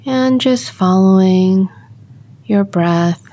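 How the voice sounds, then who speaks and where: A woman guiding a body scan meditation, speaking calmly and gently, giving unhurried instructions with long pauses. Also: mid-range voice. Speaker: meditation guide.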